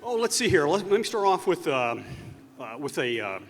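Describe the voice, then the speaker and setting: Guy rambling thru a story on a convention stage, kinda high voice that jumps around, big echoey hall. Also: big pitch swings, normal speed, slight background noise. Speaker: panelist recounting unproduced TV episodes.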